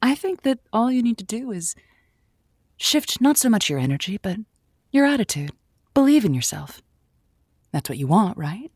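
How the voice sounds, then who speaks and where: A woman's voice: medium pitch, sing-song, moderate pace, pauses often, darkish tone, husky, dry recording, studio quality. A woman acting as an earnest, encouraging, slightly exasperated romantic advice host in a comedic audio drama, given to quaint pep talk. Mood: earnest, coaxing.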